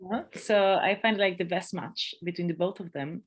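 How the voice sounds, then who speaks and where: A woman in her thirties from Peru, speaking English with a thick Peruvian Spanish accent in a relaxed interview chat, laughing often and restarting her sentences. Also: lowish voice, moderate pace. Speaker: podcast guest.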